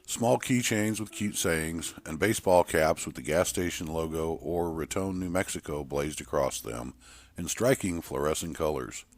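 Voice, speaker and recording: A man's voice: extremely low pitch, moderate pace, dry recording. A man reading a story aloud as dramatic, scripted narration. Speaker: storyteller narrating horror fiction.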